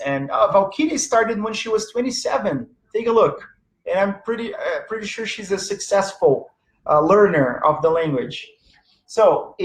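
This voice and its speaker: A man whose first language is Portuguese, giving a lecture to fellow teachers. His delivery is animated and searching, full of fillers and restarts. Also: high voice. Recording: echoey room.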